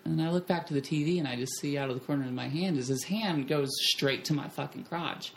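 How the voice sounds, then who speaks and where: A woman's voice: low-pitched, fast, vocal fry, a little hiss or hum. A young woman telling frank stories in a casual chat, blunt and profane. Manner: blunt, profane, animated storyteller. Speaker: podcast guest. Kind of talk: candid storytelling chat.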